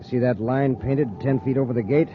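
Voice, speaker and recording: An actor playing a plucky injured spaceship pilot, wry and plain-spoken, in 1950s radio adventure style. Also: male voice, mid-range voice, normal speed, lightly acted. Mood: resigned. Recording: dull, noisy old radio recording.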